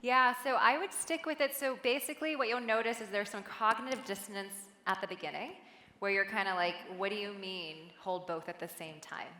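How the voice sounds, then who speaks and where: A bright-voiced woman speaks from a stage, leading a guided meditation in a soft, unhurried way before turning chatty with the audience. Her voice carries a long reverb from the large hall. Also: medium pitch. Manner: soft, slow guiding then lively chatty with laughs.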